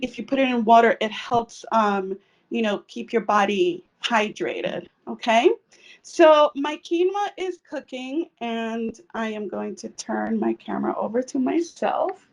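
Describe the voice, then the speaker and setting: A woman's voice: a little high, lively intonation, measured pace, gravelly, recorded from a distance. A woman from El Salvador giving a casual cooking demonstration in her home kitchen, chatty with frequent fillers. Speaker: cooking instructor.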